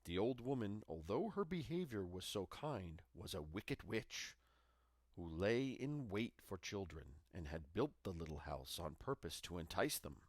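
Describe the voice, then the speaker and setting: Expressive male storyteller with creaky low voice. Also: a little slow, no room sound, clean studio sound. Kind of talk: scripted fairy-tale narration, dramatic.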